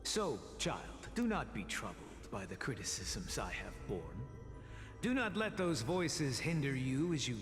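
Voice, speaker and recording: An actor playing a calm, gentle old sage who mentors a former pupil, speaking in a measured, solemn way. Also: male voice, mid-range voice. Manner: measured, solemn, blessing-like speech. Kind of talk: video game voice acting. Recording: roomy hall reverb with game music.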